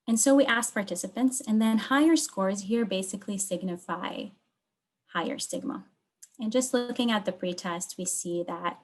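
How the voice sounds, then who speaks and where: Measured woman researcher presenting. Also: on the low side. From Lebanon. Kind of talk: explanatory research talk with slides.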